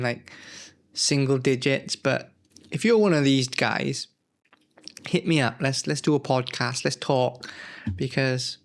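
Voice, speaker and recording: Unwell man with a gravelly, fry-laden voice, mid-pitched, leafing through old magazines and rambling to camera with plenty of self-corrections. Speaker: gaming channel host. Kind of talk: casual nostalgic commentary.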